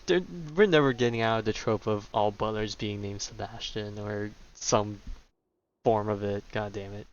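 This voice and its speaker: A man's voice: lowish voice, normal speed, highs cut off. A man giving casual, slangy, offhand commentary as he streams a video game. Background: game music.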